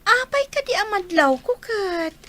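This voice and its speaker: A woman's voice: extremely high pitch, very animated, a little slow, sharp tone, a little hiss or hum, exaggerated voice. A woman playing a whiny, jealous young daughter in a radio drama, plaintive and insistent. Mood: resentful, whining. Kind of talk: Filipino radio drama acting.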